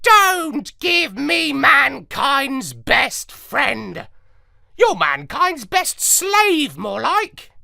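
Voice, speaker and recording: A man's voice: very high-pitched, sing-song, extremely slow, creaky, pushed and bright, no echo, clean studio sound, voice pushed up, heavily acted. A man voicing a bossy, scornful parrot major with a squawky voice and a sneering manner for a children's story. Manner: sneering, insulting.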